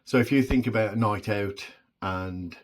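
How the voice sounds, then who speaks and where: A man in his forties with a deep voice, talking alone in a halting, reflective way with many pauses and restarts. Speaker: vlogger. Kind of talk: solo reflective monologue.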